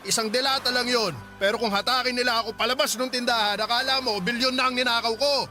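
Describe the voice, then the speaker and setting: Radio drama: bitter middle-aged dad played by a man, high sharp voice, defensive and demanding, music under. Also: steady tone, quick pace, heavily acted. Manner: defensive, grumbling. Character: bitter, demanding widowed father, jobless and hard-drinking. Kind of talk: Filipino radio drama acting.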